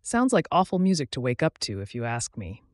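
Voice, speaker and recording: A man's voice: medium pitch, sing-song, brisk, dry recording, clean studio sound, natural voice. A man voicing a mildly sarcastic, disapproving wife in a story.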